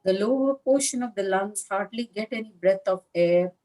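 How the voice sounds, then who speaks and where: A woman leading a guided meditation as a teacher, speaking in a calm, measured manner with long pauses between short phrases. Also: medium pitch, low-quality recording. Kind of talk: guided meditation instruction, live session.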